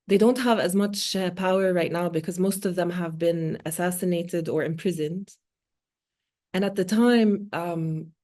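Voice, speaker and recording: Mellow low-voiced woman, native Arabic speaker. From born in Oman, Palestinian. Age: 40s. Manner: earnest, measured, with frequent pauses and fillers. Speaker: guest speaker, multimedia artist. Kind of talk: reflective interview talk.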